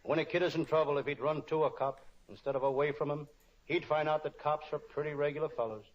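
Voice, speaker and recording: A man's voice: a little high, fairly even intonation, fast, close to an everyday voice. A man playing a wisecracking, kind-hearted private detective in an old-time radio spoof, both narrating and in dialogue. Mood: sincere, reassuring. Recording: dull lo-fi old radio recording.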